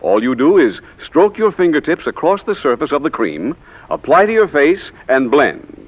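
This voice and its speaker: A man's voice: fairly high pitch, very animated, normal speed, breathy edge. American guy doing a 40s radio commercial, emphatic salesman, swoopy delivery, really thin tinny old sound. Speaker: commercial announcer. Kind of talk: scripted 1940s radio advertisement pitch. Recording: tinny, narrow 1940s radio recording.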